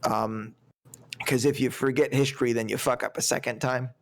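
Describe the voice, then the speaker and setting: A man in his twenties chats loosely on a movie podcast, circling back and restarting his sentences. His voice sits mid-pitch with a gravelly, creaky edge. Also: fairly even intonation, normal speed. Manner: rambling, many restarts and fillers. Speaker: podcast co-host. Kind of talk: casual movie podcast chat.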